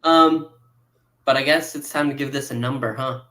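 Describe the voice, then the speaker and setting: A man hosting and moderating a casual chat show in a halting, informal manner. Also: medium pitch, fairly even intonation, pushed and bright.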